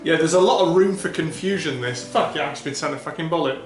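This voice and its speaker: A man's voice: fairly high pitch, fast, roomy sound, faint music behind. A man co-hosting a casual film podcast, laughing often and swearing casually as he talks. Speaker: podcast co-host.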